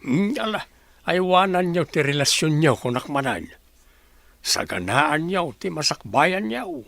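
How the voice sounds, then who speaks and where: A man playing a caring older village father who gives advice, gravelly and Ilocano-accented, in a radio drama. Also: fairly high pitch, big pitch swings, a little slow, a bit bright, no echo, full character voice. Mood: advising. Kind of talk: radio comedy-drama acting.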